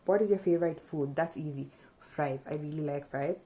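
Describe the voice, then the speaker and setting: Young woman from Kenya, casual vlog chat, low and warm, thinks aloud, camera mic in a small room. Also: a little fast. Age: young adult. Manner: relaxed, chatty, thinks aloud with fillers. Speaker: vlogger. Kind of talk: casual chat, answering tag questions. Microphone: camera in front at close range.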